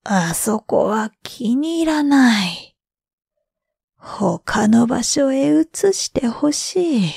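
A woman's voice: a little high, sing-song, drawn-out words, vocal fry, darkish tone, husky, no echo, clean studio sound, exaggerated voice. A woman voicing a willful old male star god in a bedtime storybook, speaking in a commanding way. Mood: displeased.

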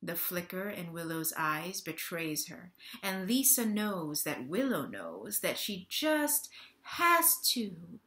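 A woman in her thirties narrates a stage play with theatrical emphasis. Her voice is fairly high and forceful. It is a homemade recording with audible room echo. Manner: dramatic, emphatic storytelling. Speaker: actor giving a staged reading. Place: small bare-walled room at home. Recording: roomy home webcam recording.

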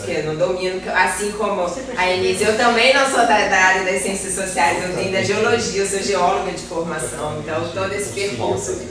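Distant, echoey Brazilian woman lecturing. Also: medium pitch. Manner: hesitant, self-deprecating, repeats words while searching. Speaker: guest lecturer, environmental civil servant. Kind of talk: informal lecture to a class. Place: classroom with projector, indoors. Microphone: far camera. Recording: roomy, noisy classroom recorded from far off.

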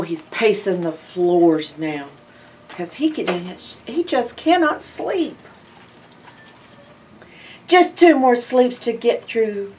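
Older female storytime reader, unhurried and expressive, slightly high voice, addressing little kids. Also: distant mic. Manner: expressive, drawn-out phrases with hushed asides and questions to listeners. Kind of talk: animated picture-book read-aloud to young children. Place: indoor library in front of bookshelves.

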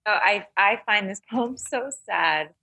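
A woman's voice: medium pitch, animated, unhurried, sharp tone, husky, dry recording. A woman talking casually about literature as a podcast panelist, thoughtful and often checking in with "right?".

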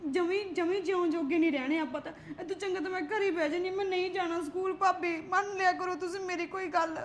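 A woman plays a frightened young teacher who protests and pleads through tears. She speaks with a high voice. There is a faint noise behind her. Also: steady tone, fast. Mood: tearful pleading. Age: young adult.

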